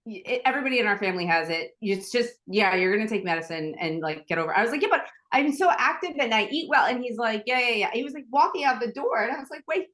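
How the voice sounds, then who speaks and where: A woman's voice: a little high, fast talker, few pauses. A woman from Staten Island, a podcast guest chatting casually and acting out the people in her stories. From Staten Island, New York. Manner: animated storyteller, acts out quoted dialogue, frequent restarts. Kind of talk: casual conversational interview.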